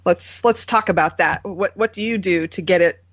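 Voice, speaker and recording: A woman's voice: lowish voice, normal speed, fairly bright tone. A woman hosting an interview in a casual, informal and chatty way. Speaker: podcast host, interviewer. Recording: dull lo-fi audio.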